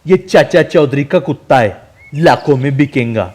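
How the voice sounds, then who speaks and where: A man's voice: mid-range voice, animated, moderate pace, darkish tone, lightly acted. A man voicing a boastful, scheming dog thief with a brash manner.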